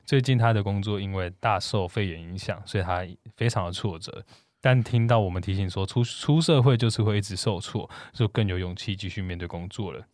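A man's voice: on the low side, a little fast, a little mellow, dry recording, studio quality. A man co-hosting a podcast, chatting casually and reading out comments from listeners. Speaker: podcast co-host.

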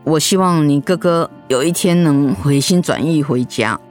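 A woman's voice: deep voice, normal speed, a little mellow, faint music behind, lightly acted. An actress playing an elderly, strong-willed mother who frets over her children in a radio drama. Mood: pleading.

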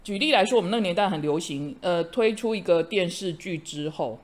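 A middle-aged woman, a host and teacher, chatting casually and telling lively stories with frequent laughter. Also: on the low side, a little fast. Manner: animated storyteller, laughs often. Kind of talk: casual chat.

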